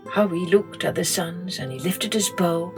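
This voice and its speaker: A middle-aged woman, reading a children's story gently and expressively as a podcast host. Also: fairly low pitch, soft background music. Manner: gentle, slow and expressive read-aloud.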